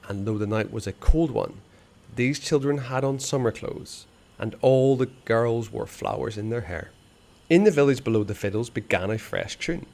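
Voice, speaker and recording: A man reading a children's novel aloud, expressive and hushed in the dark passages. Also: mid-range voice, gravelly. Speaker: storyteller.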